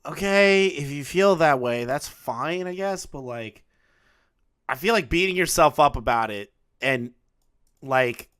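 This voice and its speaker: A man hosting a reaction commentary, blunt and profane in how he mocks what he watches. His voice has a bright, sharp edge. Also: mid-range voice. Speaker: commentary channel host.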